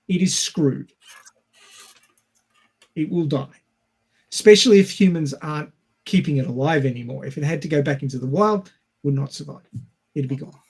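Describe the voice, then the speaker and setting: A man talks in a casual podcast discussion. His voice sits a little on the high side for a man. He lays out his points step by step, in a didactic way. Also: moderate pace, pauses often, slightly rough. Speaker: podcast panellist.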